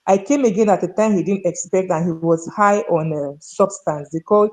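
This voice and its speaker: A woman's voice: on the low side, normal speed, a little mellow, rough recording. A woman with a Nigerian accent telling stories and giving opinions in a discussion, emphatic and fond of rhetorical questions. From North Central Nigeria. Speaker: human resources professional, talk show guest. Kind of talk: conversational storytelling and opinion in a discussion.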